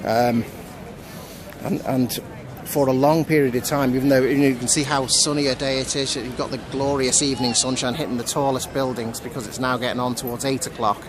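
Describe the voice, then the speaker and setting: A man gives an unscripted on-location news report, speaking haltingly and repeating words as he goes. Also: mid-range voice, fairly even intonation. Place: outdoors in a busy city square. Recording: outdoor city crowd and traffic noise. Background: crowd and traffic.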